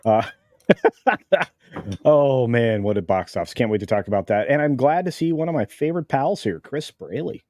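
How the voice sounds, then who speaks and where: Man, mid-pitched voice, very dry sound, casual panel guest chat. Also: animated, normal speed, darkish tone, no echo.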